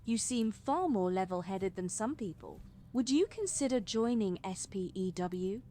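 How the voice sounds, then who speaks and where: A woman's voice: mid-range voice, animated, unhurried, mellow, slight background noise, lightly acted. A woman playing a bookish, earnest schoolgirl activist in a fan audio drama, prim and emphatic.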